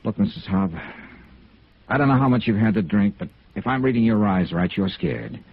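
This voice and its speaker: A man's voice: lowish voice, normal speed, gravelly, slightly rough. An American actor playing a hard-boiled, persistent insurance investigator in a radio noir drama, clipped and matter-of-fact as he narrates and questions. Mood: probing, insistent. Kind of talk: 1950s radio noir acting. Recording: dull vintage radio recording with music.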